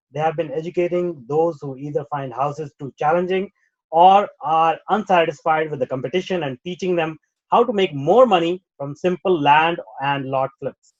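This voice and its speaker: A man's voice: on the high side, muffled recording. A man hosting a podcast, reading a scripted introduction and then asking questions with frequent fillers. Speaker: host.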